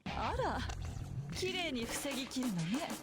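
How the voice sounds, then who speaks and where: A woman's voice: high-pitched, sing-song, normal speed. A woman playing a haughty, mocking witch in a visual novel game, condescending and teasing with mock politeness. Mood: mocking, amused. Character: haughty, mocking adult witch, smugly amused. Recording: loud music over the voice. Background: loud game music.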